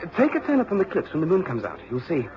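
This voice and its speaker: A man's voice: fairly high pitch, wildly swooping pitch, fast talker. A man playing an agreeable, polite young local man, friendly and eager, in a radio drama. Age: young adult. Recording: dull, noisy radio recording.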